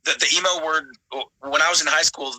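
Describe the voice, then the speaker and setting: A man's voice: fairly high pitch, steady tone, brisk, sharp tone, no room sound, rough recording. An American man from Florida in his late thirties, chatting casually in slangy, informal speech. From Florida, United States. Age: late 30s. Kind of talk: casual chat.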